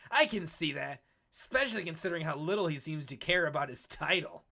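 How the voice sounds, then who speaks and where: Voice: medium pitch, animated, a little fast, vocal fry, sharp tone, husky, heavily acted. A voice actor playing a brash, cynical veteran Pokemon explorer in a comic dub, talking in a cocky, slangy, needling way. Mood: sly, knowing. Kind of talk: animated comic dub acting.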